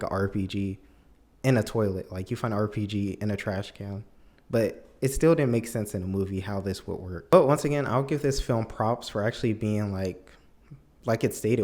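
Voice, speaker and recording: A man's voice: on the low side, darkish tone. A man giving a casual, opinionated film review as a video commentator, with an emphatic, incredulous, conversational manner.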